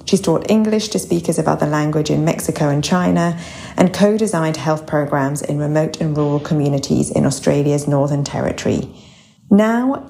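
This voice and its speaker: A woman hosting a podcast, with a low, gravelly voice and vocal fry. She reads her prepared introduction and questions in a measured, deliberate way. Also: dark tone, a little hiss or hum. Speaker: podcast host.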